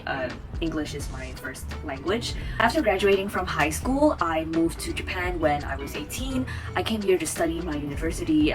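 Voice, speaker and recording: A woman in her twenties from Taiwan, chatting to camera as a vlogger, rambling with many fillers and restarts. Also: low voice. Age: 20s. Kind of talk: casual chat to camera.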